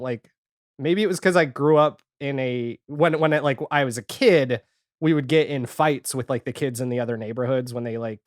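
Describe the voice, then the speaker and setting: A man's voice: mid-range voice, no echo, studio quality. A man speaking as a podcast guest in casual chat, telling stories in a rambling way and often acting out his own remarks as quotes. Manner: rambling, self-quoting storytelling. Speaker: podcast guest, audio fiction creator and editor.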